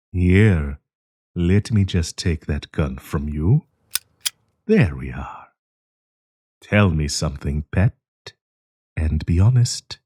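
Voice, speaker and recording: A man playing a sinister, possessive werewolf professor in an intimate audio roleplay, coaxing and menacing, with a husky, breathy voice. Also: extremely low pitch, warm tone, no echo, clean studio sound, exaggerated voice. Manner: slow, coaxing, menacing endearments.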